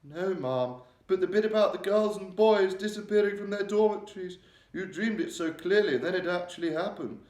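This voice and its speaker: A man's voice: high voice, normal speed, a little mellow, voice pushed up, close to an everyday voice. A man voicing an oldish, deferential maid who speaks politely and with a quivering voice. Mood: nervous, shaken. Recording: roomy echo.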